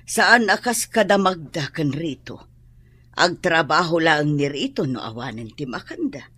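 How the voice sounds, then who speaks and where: An actress playing a practical older landlady and mother in a radio drama, with a husky voice and an Ilocano accent. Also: sits low, sing-song, a little slow, fairly bright tone, exaggerated voice. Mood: matter-of-fact.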